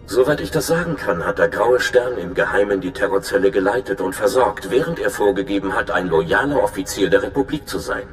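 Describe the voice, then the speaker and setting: Ein Schauspieler als ernster, berechnender Informant und Spion in einem Videospiel, der eindringlich erklärt. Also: male voice, fairly low pitch, animated, a little fast, hardly any pauses, soft background music, natural voice. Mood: scheming. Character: earnest, scheming male spy informant. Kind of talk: dramatic video game dialogue in German. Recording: echoey, noisy hologram transmission.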